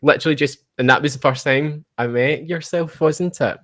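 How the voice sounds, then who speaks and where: A Scottish man hosting a casual live chat in an excitable, chatty manner. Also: on the high side, moderate pace, dry recording, clean studio sound. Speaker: podcast host and audio drama producer.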